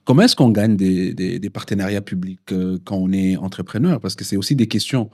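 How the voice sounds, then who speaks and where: A man's voice: low voice, brisk, mellow. A male interview host who offers brief prompts and asks long questions, repeating words as he goes.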